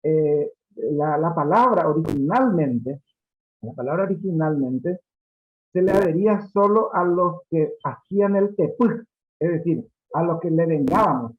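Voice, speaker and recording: A man's voice: on the high side, animated. Guy explaining in a seminar, lots of ums, dull muffled sound. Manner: halting, many drawn-out fillers. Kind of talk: seminar discussion. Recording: dull lo-fi audio.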